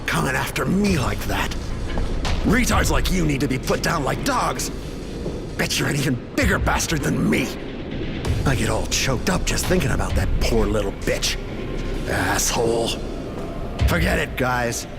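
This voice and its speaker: An actor playing a crude, menacing gang-leader bully in a video game, with a taunting, sneering swagger. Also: male voice, medium pitch, very animated, a little slow, gravelly, long pauses. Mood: scornful. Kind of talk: video game cutscene acting. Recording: music playing behind the voice.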